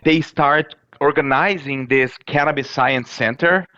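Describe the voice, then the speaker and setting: Bright-voiced Brazilian man, halting speech. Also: mid-range voice, highs cut off. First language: Portuguese. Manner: halting, many fillers and restarts. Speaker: professor guest. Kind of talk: interview answers.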